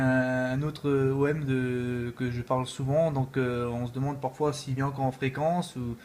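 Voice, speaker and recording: Man from France, radio operator, casual jargon, repetitive phrasing, medium pitch, faint background noise. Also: darkish tone. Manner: repetitive, filler-heavy, informal. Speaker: amateur radio operator hosting a contact.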